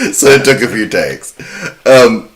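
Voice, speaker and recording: Man, podcast host, bright voice, mid pitch, casual film talk, informal and enthusiastic.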